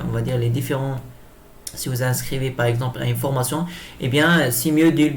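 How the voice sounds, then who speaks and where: A man giving an improvised software tutorial, speaking hesitantly and often repeating himself as he explains each step. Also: mid-range voice. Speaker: tutorial presenter. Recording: clear background noise.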